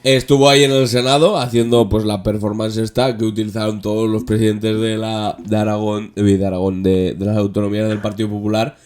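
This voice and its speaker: A man with an accent from Spain hosting a casual, joking political chat, sarcastic and halting in delivery. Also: lowish voice, level tone, darkish tone, clean tone. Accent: Spanish from Spain. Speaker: podcast host.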